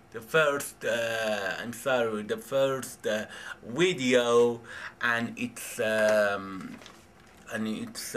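A young man with a Persian accent, speaking English as a second language, introducing himself in a halting, hesitant way full of pauses and fillers. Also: medium pitch, a bit bright. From Iran. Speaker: vlogger. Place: home in Tehran. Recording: distant mic, faint background noise.

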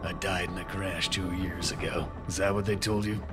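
An actor playing a menacing middle-aged American ex-soldier turned villain in a video game, taunting and deliberate. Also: male voice, deep voice, fairly even intonation, lightly acted. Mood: smug. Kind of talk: video game cutscene acting. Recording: game music behind.

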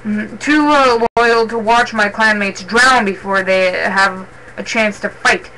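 Man playing an earnest, high-voiced warrior cat. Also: takes it slowly, bright, forceful tone, a little hiss or hum, exaggerated voice, rough recording. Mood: urgent, firm. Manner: urgent, earnest pleading. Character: Robin Wing, loyal, worried male warrior cat. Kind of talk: dramatic storybook character reading.

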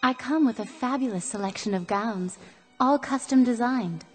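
A woman's voice: on the high side, normal speed, husky. An actress playing a gracious young beauty-queen display android in a radio drama, poised and rehearsed. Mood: gracious, presenting. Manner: poised, rehearsed showroom presentation. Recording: hall reverb with music behind.

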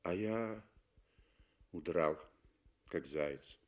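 A man acts out an ashamed, wealthy man of thirty-five in a radio story. He talks slowly and low. His manner is hesitant, anxious and apologetic. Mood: rueful, hopeful.

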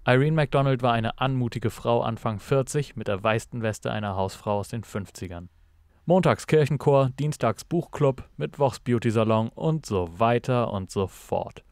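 Guy reading a noir detective story, medium pitch, measured n dramatic, dry close mic. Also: a little mellow, clear, resonant tone, no room sound. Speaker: narrator. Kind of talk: scripted noir audio drama narration.

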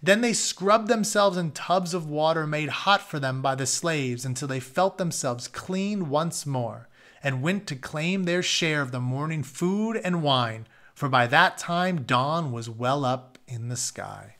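A man in his thirties with a slightly high voice reads aloud from a storybook. He moves between narration and casual, plain-worded explanations for his listeners, recorded at a desk in a room at home. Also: animated, a little slow, a bit bright. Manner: informal, explains words in plain terms. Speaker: storyteller reading a book aloud. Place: carpeted home office, indoors.

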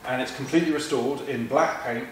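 A man's voice: medium pitch, fairly quick. A man hosting an interview, chatty and casual, with plenty of ums and fillers. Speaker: interviewer, magazine host. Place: large workshop garage, indoors. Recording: echoey garage from far off.

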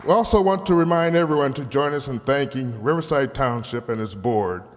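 A man's voice: medium pitch, a little fast, a little hiss or hum. An older man reading a scripted thank-you speech to an audience from a stage. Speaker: program director addressing audience. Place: large hall stage, indoors. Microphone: stand microphone through PA. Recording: reverberant hall.

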